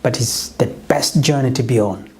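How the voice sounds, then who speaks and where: A middle-aged man from Uganda, not a native English speaker, giving an earnest motivational pitch as a business coach, often restarting and correcting himself. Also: moderate pace, dark tone.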